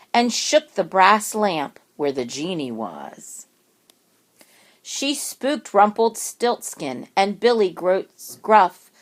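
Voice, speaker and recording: A woman reading a rhyming children's picture book aloud, expressively and with dramatic emphasis on words. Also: mid-range voice. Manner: deliberate, dramatic word emphasis.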